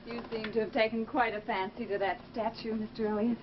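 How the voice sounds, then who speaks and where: An actress playing a gentle, guarded young woman in an old-time radio drama, polite and pleading. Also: fairly high pitch, fairly even intonation, brisk, noisy background, natural voice. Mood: guarded, soothing. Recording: muffled old radio recording, music behind.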